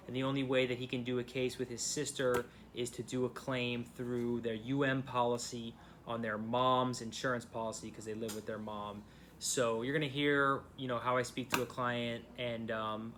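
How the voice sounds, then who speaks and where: A man's voice: mid-range voice, a bit bright. Casual, blunt male lawyer, noisy background. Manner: blunt, informal, repeats and restarts phrases. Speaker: personal injury lawyer. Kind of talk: casual phone advice to a client. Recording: clear background noise.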